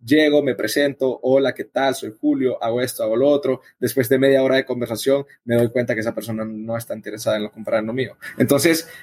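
A man's voice: medium pitch, fairly even intonation, fast, hardly any pauses, darkish tone, husky. A man from El Salvador, answering interview questions in a conversational, explanatory way with frequent tag questions. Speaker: interview guest, coffee event organizer.

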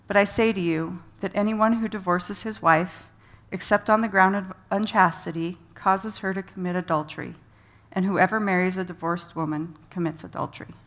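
A woman's voice: fairly low pitch, steady tone, normal speed, a bit bright. A woman reading a Bible passage aloud as a scripture reader.

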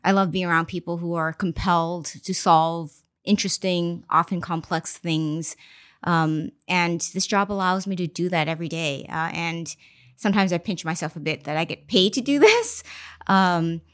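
A woman investor giving a candid, reflective podcast interview, often pausing with ums. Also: fairly low pitch, a bit bright. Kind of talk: conversational interview. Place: studio interview.

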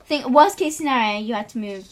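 A woman's voice: on the high side, fairly quick. Upbeat teen girl co-host. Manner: encouraging, upbeat, filler-heavy. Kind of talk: casual chat.

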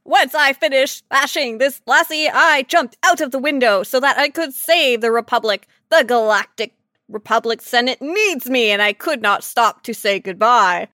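A woman's voice: high-pitched, normal speed, pushed and bright, no echo, clean studio sound. A woman voicing a bombastic, earnest male military robot in a pompous, declamatory way, as a podcast joke. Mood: grandly boastful.

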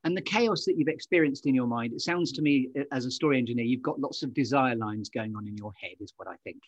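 A man's voice: mid-range voice, fast, lo-fi sound, slight background noise. A British man hosting an interview podcast, conversational and effusive, with playful, elaborate phrasing. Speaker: podcast host.

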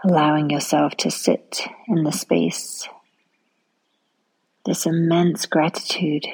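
A woman leading a guided relaxation meditation in a soft, soothing manner, often trailing off into a near-whisper. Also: low-pitched, level tone, slow pace, slightly rough, low-quality recording.

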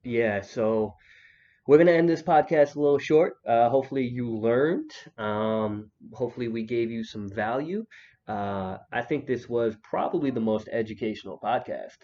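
A man's voice: mid-range voice, normal speed, dark tone. A young man hosting a podcast, chatting in a laid-back, joking way with many restarts. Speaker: podcast host. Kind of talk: casual chat. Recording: dull lo-fi podcast audio.

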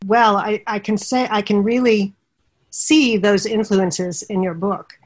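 A woman's voice: medium pitch, slow, rough recording. Enthusiastic woman podcast host. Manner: enthusiastic, warm welcoming host. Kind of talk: podcast interview.

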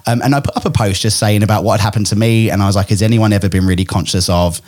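A man's voice: lowish voice, steady tone, darkish tone. A man in his thirties from England with a mixed English and Australian accent, chatting casually and joking at his own expense in a podcast interview at home. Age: 30s. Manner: chatty, self-deprecating, quick to joke. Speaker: event host and podcaster.

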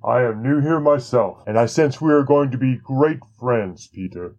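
A man's voice: medium pitch, normal speed, a little mellow, voice pushed up, lightly acted, low-quality recording. A man doing an earnest young schoolteacher. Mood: friendly. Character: friendly young male schoolteacher.

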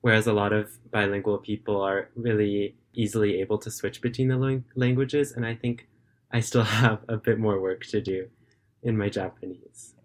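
Young man, late teens, lowish voice, relaxed, earnest, hedging fillers, video call. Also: normal speed. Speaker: remote English tutor and high school student. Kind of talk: interview answers.

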